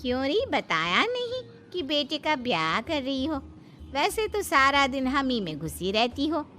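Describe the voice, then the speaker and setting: A woman's voice: high-pitched, wildly swooping pitch, a little slow, sharp tone, slight background noise, heavily acted. A woman voicing a nosy, gossipy friend who scolds and provokes with questions in a storybook drama. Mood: nosy reproach. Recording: music behind the voice.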